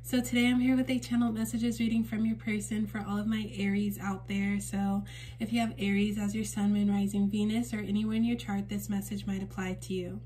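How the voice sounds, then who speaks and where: A woman with a temporarily raspy voice giving a casual spiritual card reading to camera at home, gentle and reassuring with frequent fillers. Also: mid-range voice, level tone, fairly quick. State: raspy voice for a few days. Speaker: tarot reader and channel host. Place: indoors at a table in a home room.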